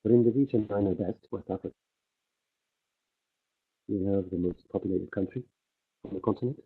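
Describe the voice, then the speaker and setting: A deep, husky male voice interpreting a conference talk live, pausing and restarting as he tracks the speaker. The sound is thin and phone-like, as if it came through a narrow livestream feed from an interpreter booth. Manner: halting, many fillers and restarts while keeping pace with the speaker. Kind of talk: simultaneous interpretation of a conference speech. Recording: tinny phone-like livestream audio.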